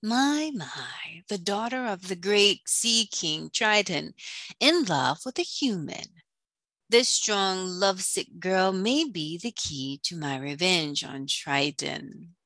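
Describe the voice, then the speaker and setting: A woman's voice: lowish voice, lively intonation, slow pace, a bit bright, no echo, full character voice. A woman voicing a vengeful sea witch villain, gloating and calculating, in a storybook reading. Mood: gloating, scheming.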